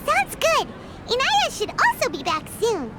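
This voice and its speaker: Extremely high, squeaky little fairy sidekick, eager and childlike, swooping delivery, game dub. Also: female voice, huge pitch swings, a little slow, over a noisy crowd, heavy background noise, exaggerated voice. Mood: cheerful. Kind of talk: English anime game dub acting.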